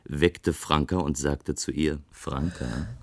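A restless dreamer from a future society in a German radio play. The voice sits extremely low, with a gravelly, husky grain. He muses deliberately, longing for adventure. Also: fairly even intonation, heavily acted. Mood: measured narrating. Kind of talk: German science-fiction radio play acting, narrating and dialogue.

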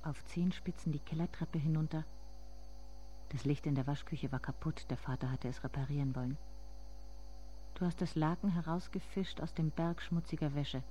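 A woman acting a grieving grown daughter in a literary radio play. Her voice is dark and mellow, and she speaks to herself in a measured, intimate, brooding way, with bitterness underneath. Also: low voice, slight background noise, lightly acted. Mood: hushed, brooding.

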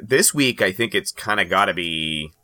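A man's voice: deep voice, expressive, normal speed, bright, forceful tone. A man co-hosting a podcast with casual banter and commentary. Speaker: podcast co-host.

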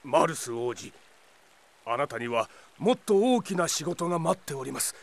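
An elderly knight advisor in an audio drama. The voice is gravelly, slightly high and very animated. He is deferential, stern and protective. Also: normal speed. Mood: admonishing. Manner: archaic, deferential speech. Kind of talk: anime-style audio drama acting.

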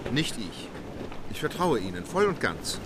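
An actor playing an unflappable, resolute gentleman traveller, formal and decisive, in an audio drama. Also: medium pitch, wildly swooping pitch, unhurried, noisy background. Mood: polite, firm. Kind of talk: German audio drama acting.

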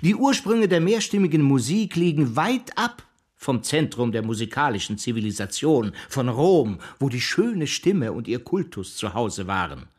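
Radio drama: pompous reactionary scholar. Rough-edged voice, very animated intonation, scornful rhetorical lecturing. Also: male voice, medium pitch, normal speed. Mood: haughty lecturing. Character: mocking, provocative arch-conservative private scholar, a cultural philosopher. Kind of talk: German radio drama acting.

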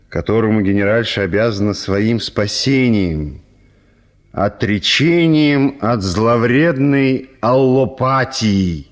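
A man narrating a classic comic story for a radio play in a measured, theatrical storytelling manner. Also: fairly low pitch.

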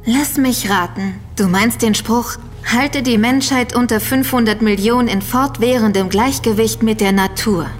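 An actress playing a quick-witted, knowing female investigator in a German audio drama, with an explanatory way of talking. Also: on the high side, lightly acted. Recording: reverberant hall with music underneath.

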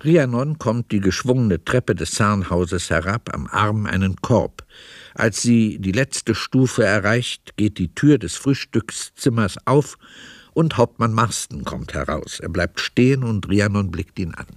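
Guy narrating a radio play, lowish voice, very dry sound, measured. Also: animated, dry recording.

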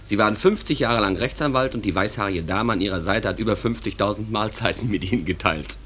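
An actor playing a genial, folksy stage manager who narrates a radio play in a reflective, conversational way. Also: male voice, fairly low pitch, quick pace, a bit bright, slight background noise, natural voice.

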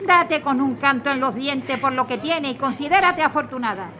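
A woman's voice: high-pitched, lively intonation, moderate pace, pushed and bright, a little hiss or hum. An actress playing a weary, firm mother who scolds her daughter in a radio drama. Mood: scolding. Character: weary, stern mother fleeing on foot.

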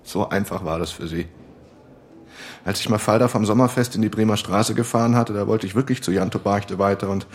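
A man's voice: lowish voice, brisk, lightly acted. An actor playing a bitter young man confessing to police in a German radio crime drama, subdued and resentful.

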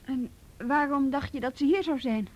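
A woman's voice: sits high, normal speed, a little mellow, muffled recording, natural voice. An actress in a radio play playing a firm but kindly policewoman who is someone's superior at work. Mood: calm, probing.

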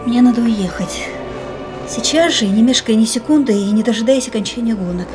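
An actress voices a young heroine in a radio drama, quietly resolved and inward. Her voice sits a little high and drops to near whispers. Soft music plays faintly beneath her. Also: a little fast, close to an everyday voice, rough recording. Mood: tense restless. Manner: soft, often whispered, intimate. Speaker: heroine voicing inner monologue. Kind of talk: radio play acting.

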